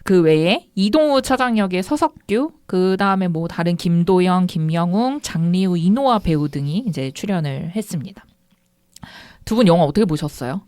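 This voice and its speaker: A woman's voice: lowish voice, moderate pace, no echo. A woman hosting a casual film review podcast, telling background stories with frequent laughter. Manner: laughing, lively storytelling. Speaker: podcast host.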